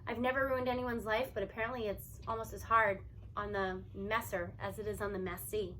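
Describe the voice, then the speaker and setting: A woman reading a story aloud in expressive first-person narration, with animated delivery and emphatic stresses. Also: mid-range voice, a little fast, slight background noise, poor audio.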